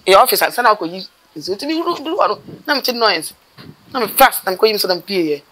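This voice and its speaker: A middle-aged woman with a Ghanaian accent, a mortuary worker telling her stories in an outdoor interview, animated and gesturing as she talks. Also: mid-range voice, rough recording. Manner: animated, gesturing with her hands, rapid bursts of speech. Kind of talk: storytelling interview. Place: outdoors by a building wall.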